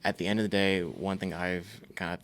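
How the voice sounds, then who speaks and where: Gravelly low-voiced young man, earnest. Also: steady tone, a little fast, a bit bright, slight background noise. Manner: earnest, thoughtful, with many fillers. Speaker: podcast co-host. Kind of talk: casual faith talk.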